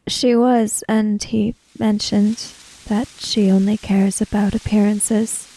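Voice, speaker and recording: Woman playing a slow, creaky-voiced, formal samurai-era woman. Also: mid-range voice, little pitch movement, warm tone, poor audio. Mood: sympathetic. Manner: measured, formal diction without contractions. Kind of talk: in-character tabletop roleplay.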